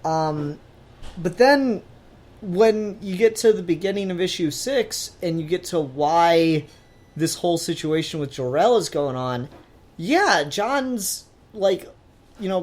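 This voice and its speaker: A man's voice: fairly high pitch, darkish tone. Man in casual, hesitant review chat. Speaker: podcast co-host. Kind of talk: casual comic book review discussion.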